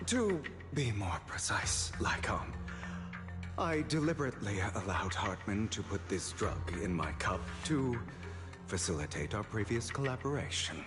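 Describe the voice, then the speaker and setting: A man playing a composed, calculating, proud man in a video game, speaking in a deliberate, theatrical way. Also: fairly low pitch, sing-song. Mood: calm, explanatory. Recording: game audio with music behind.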